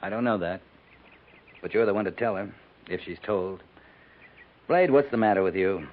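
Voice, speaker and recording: An actor playing a steady, plainspoken American army captain at a frontier fort, measured and blunt, in a 1950s radio drama. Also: male voice, low-pitched, close to an everyday voice. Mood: blunt, impatient. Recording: tinny, noisy 1950s radio recording.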